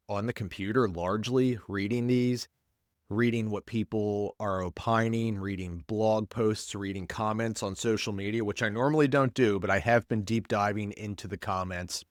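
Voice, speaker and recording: A man's voice: on the low side, no echo, clean studio sound. A man hosting a podcast, sounding congested and stuffed up, rambling and blunt in casual commentary. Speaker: podcast host, paramedic.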